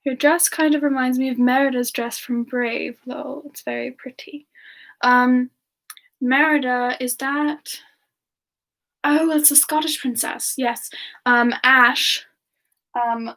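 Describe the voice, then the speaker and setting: A woman voicing a gentle, polite female demon in a soft-spoken, hesitant semi-ASMR chat with viewers. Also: high voice, level tone, slow pace, heavily acted. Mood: gentle, chatty. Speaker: streamer answering viewer questions in character.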